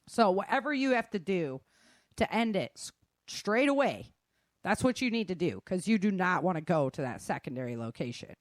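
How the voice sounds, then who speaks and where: A woman's voice: lowish voice, very animated, moderate pace, no echo, clean studio sound. A woman giving casual, conversational advice in an emphatic, plain-spoken manner. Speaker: guest sharing self-defense advice.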